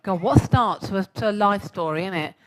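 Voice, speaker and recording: A woman's voice: mid-range voice, a little fast. Chatty woman in her sixties with a London accent. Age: 60s. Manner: chatty, self-mocking, asks the audience questions. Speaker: guest speaker. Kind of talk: chatty personal talk to a live audience.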